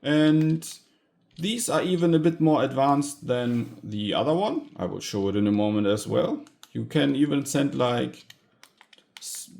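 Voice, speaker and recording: A man with a mid-pitched, creaky voice presents gadgets as a hobbyist host. He speaks slowly and informally. His delivery is halting, with frequent pauses partway through sentences. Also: a little mellow. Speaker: tech hobbyist video host. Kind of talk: casual hands-on gadget teardown narration.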